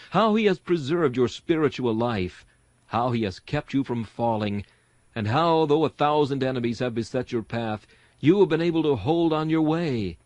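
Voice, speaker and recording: A man reading an old-fashioned sermon aloud in a solemn, earnest, rhetorical way with deliberate emphasis. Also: mid-range voice, darkish tone, no room sound. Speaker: sermon reader.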